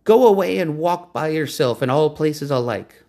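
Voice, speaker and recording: A man's voice: a little high, animated, normal speed, a little mellow, voice pushed up. A man putting on a proud, lofty cave woman. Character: wise proud cave woman, high and lilting.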